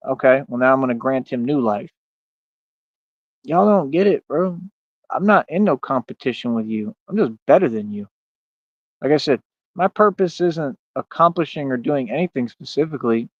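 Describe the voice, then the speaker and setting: A man's voice: medium pitch, no room sound, lo-fi sound. A man in his thirties rambling casually on a livestream in a boastful, defiant way, with slangy talk full of "man" and "bro". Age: 30s. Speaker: streamer.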